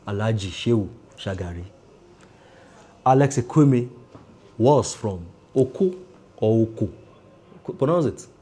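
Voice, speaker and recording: A Nigerian man talks through politics in a deliberate commentary style, stacking his points in repeated phrases. His voice sits low and mellow, with a husky edge.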